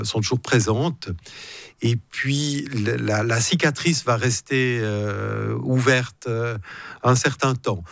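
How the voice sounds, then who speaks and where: A man's voice: mid-range voice, no room sound, studio quality. Hesitant man. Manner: measured, hesitant, many fillers and restarts. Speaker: council president interviewed as guest. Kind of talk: radio interview.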